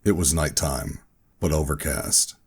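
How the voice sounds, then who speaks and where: A man playing a weary rogue operative, gruff but tender, narrating a sci-fi audio drama in a brooding, deliberate way. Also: rumbling low, fairly even intonation, heavily acted. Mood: brooding, resolute. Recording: music bed under the voice. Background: dramatic music.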